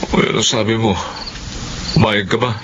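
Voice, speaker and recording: A man's voice: lowish voice, flat delivery, normal speed, slight background noise, natural voice. A man playing a stubbornly determined middle-aged farmer father in a Filipino radio drama, solemn and emphatic. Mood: concerned, adamant. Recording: dull radio audio, loud music behind.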